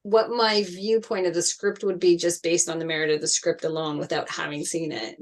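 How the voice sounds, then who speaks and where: A woman's voice: on the low side, little pitch movement, moderate pace. A woman in her fifties chatting casually and reminiscing in a group discussion. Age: 50s. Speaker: table read participant.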